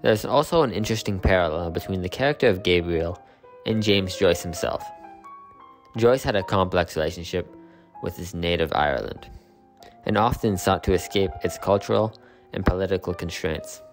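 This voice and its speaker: A man with a very deep voice narrates a literary video essay. His scripted delivery is steady and explanatory. Faint music plays beneath him. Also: normal speed.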